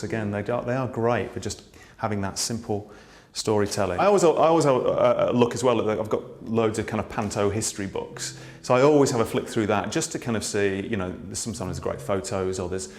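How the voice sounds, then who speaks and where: A man's voice: fairly low pitch, fast talker, hardly any pauses, darkish tone. A British man, a pantomime writer and director, talking casually and thoughtfully in an interview, often restarting his sentences. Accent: British English. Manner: thoughtful, many small restarts. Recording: roomy echo.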